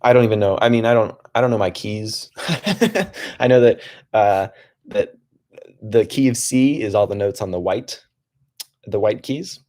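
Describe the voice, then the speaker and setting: A young man hosting a relaxed livestream from his home desk, talking softly in a calm, rambling way with many restarts. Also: fairly low pitch, moderate pace, long pauses. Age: young adult. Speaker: livestream host.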